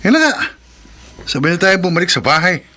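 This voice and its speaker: An actor playing a skeptical, frustrated husband in a horror radio drama, expressive and exasperated. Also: male voice, high-pitched, brisk, full character voice. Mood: scoffing, dismissive.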